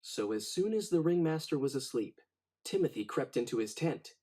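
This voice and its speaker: A man narrating a children's storybook in an expressive, dramatic read-aloud style. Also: medium pitch, normal speed.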